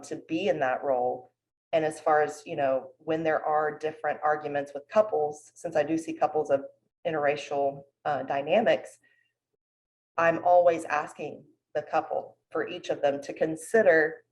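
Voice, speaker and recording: A woman's voice: deep voice, a little mellow, poor audio. A woman therapist speaking humbly and thoughtfully about her clients on a panel. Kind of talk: panel discussion.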